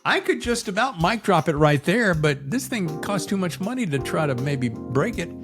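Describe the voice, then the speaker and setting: A man's voice: high voice, very animated, normal speed, fairly bright tone, soft background music. A man hosting a podcast in a casual, chatty style, with a folksy, effusive showman's way of talking. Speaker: podcast host.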